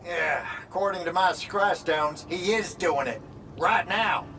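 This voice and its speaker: A man's voice: sits high, measured pace, bright, forceful tone, a little hiss or hum. A man playing a worried, folksy ally in a video game, briefing urgently in colloquial speech.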